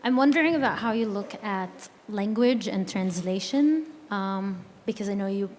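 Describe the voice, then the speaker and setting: A woman whose first language is Arabic, asking a panel a polite, halting question from the audience. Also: mid-range voice, lively intonation, darkish tone. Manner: polite, hesitant, restarts and apologizes. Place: hall at a symposium. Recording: long reverb in a hall, faint noise.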